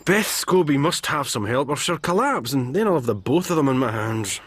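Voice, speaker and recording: An actor playing an earnest, overworked Scottish country doctor in a radio drama, grave and plain-spoken. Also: male voice, medium pitch, expressive, fairly quick, a bit bright, husky, no room sound. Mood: exasperated.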